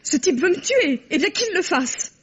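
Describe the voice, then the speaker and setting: A woman's voice: very high voice, sing-song, normal speed, fairly bright tone, slight background noise, heavily acted. An actress playing a frightened young woman who talks in a tense, resigned and defiant way, in an old radio play. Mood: desperate. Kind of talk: 1950s radio drama acting. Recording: dull old radio recording.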